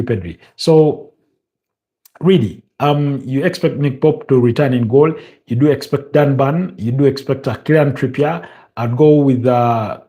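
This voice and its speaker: A man from Uganda with a Ugandan accent, hosting a casual football preview stream and talking in a halting way, full of fillers and restarts. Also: medium pitch, mellow.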